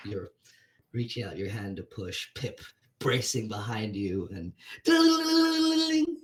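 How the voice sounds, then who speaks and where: Slow, low-voiced male game master. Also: sing-song. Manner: hesitant, searching for words while describing scenes. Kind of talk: narrating a tabletop game.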